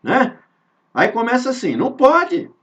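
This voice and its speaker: A man's voice: very high-pitched, huge pitch swings, a bit bright. A Brazilian man leading a spiritist study, earnest and repetitive, asking rhetorical questions and drawing out key words. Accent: Brazilian Portuguese. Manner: earnest, repeats phrases, rhetorical questions, drawn-out emphasis. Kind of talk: informal devotional teaching. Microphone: video call. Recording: dull lo-fi sound from a distance.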